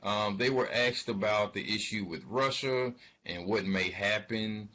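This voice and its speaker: A man giving informal financial commentary, speaking haltingly and emphatically, repeating words for stress. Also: on the low side, sharp tone, a little hiss or hum. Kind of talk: informal spoken commentary on an earnings call. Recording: dull, lo-fi sound.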